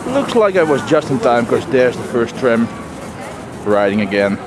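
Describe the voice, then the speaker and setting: A man's voice: mid-range voice, big pitch swings, moderate pace. A man giving casual, wry vlog commentary on the sights around him, speaking into a handheld camera outdoors. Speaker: vlogger. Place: outdoors on busy city streets. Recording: clear outdoor street and traffic noise.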